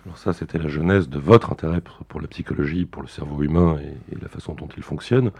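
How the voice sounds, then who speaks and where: A middle-aged man hosting a formal radio interview, speaking in a measured, formal way with some hesitations. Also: rumbling low, gravelly, darkish tone, husky. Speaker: radio host.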